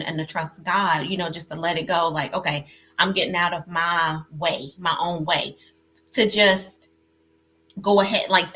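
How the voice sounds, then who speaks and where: A woman's voice: on the low side, sharp tone. A woman hosting a live online panel over a video call, effusive and chatty, with lots of fillers and repeats. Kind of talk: casual live-stream hosting.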